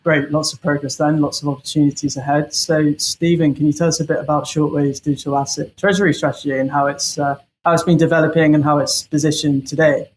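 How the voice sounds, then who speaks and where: Male interviewer: higher-set voice, even intonation, orderly prepared questioning. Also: quick pace, hardly any pauses, slight background noise, rough recording.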